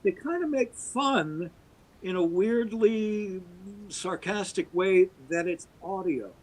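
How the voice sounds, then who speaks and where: A man's voice: slow, low-quality recording. A middle-aged man, a podcast guest, holding forth at length with emphatic, swooping delivery. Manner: emphatic, wide pitch swings, talks at length. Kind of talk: podcast interview, opinionated monologue.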